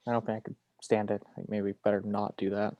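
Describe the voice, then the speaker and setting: A man's voice: lowish voice, flat delivery, fast, muffled recording, lightly acted. A man playing a weary, brooding investigator in a screenplay table read, speaking. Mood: tired, tender. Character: weary, brooding male investigator, quietly devoted husband.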